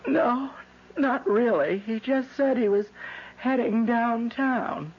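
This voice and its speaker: An actress playing a composed, guarded wife who has just been widowed, in a radio drama. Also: female voice, a little high, slow, breathy edge. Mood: hesitant, guarded. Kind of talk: 1970s radio drama acting. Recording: dull lo-fi radio broadcast.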